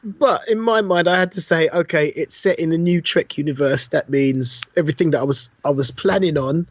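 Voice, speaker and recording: Casual British male co-host, raised pitch, joking and opinionated, close dead sound with slightly muffled top end. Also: brisk, no room sound. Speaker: podcast co-host. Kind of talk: casual chat.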